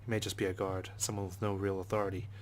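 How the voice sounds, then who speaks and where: Fast-talking man with a low voice. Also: little pitch movement, a little hiss or hum. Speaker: let's play narrator. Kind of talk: reading a visual novel aloud in character.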